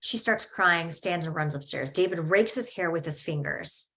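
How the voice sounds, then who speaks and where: A woman reading screenplay stage directions aloud in a plain, even way at a table read. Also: lowish voice, normal speed.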